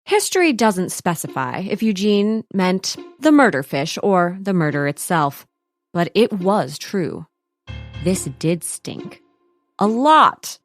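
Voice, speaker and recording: Animated woman narrator, wry storytelling. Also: fairly low pitch, slow pace, dry recording, studio quality, soft background music. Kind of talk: scripted comedic story narration.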